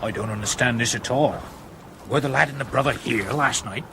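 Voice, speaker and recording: A man's voice: mid-range voice, a little fast, vocal fry, close to an everyday voice. A man playing an anxious, questioning companion in a medieval radio drama, with an urgent manner. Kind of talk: British radio drama acting. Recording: noisy ambient sound behind voice.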